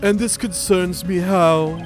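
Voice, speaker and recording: A man's voice: high voice, steady tone, takes it slowly. A man voicing a pompous, condescending and menacing businessman villain in an amateur video game dub. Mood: feigned innocence. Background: game music.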